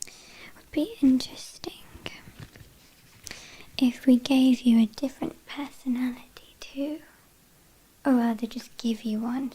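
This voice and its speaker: Actress as snobbish British vampire kidnapper for ASMR: female, light high pitch, soft breathy whisper, slow and condescending. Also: rough recording. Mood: smug, mocking. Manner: slow, disdainful, dryly teasing.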